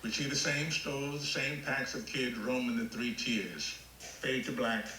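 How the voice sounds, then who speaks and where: A man's voice: medium pitch, steady tone, unhurried, pushed and bright, reverberant, slight background noise, poor audio. A man reading stage directions as scripted screenplay narration at a table read.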